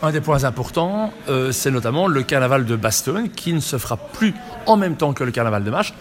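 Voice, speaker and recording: A man's voice: medium pitch, animated, brisk. A man presenting and asking questions as a radio interview host.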